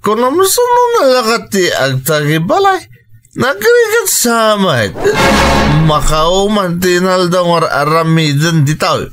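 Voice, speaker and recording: A man's voice: sits high, wildly swooping pitch, unhurried, loud music behind the voice, full character voice. A man with an Ilocano accent acting as a defensive, grumbling husband in a radio drama, whining and exasperated about housework. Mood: complaining.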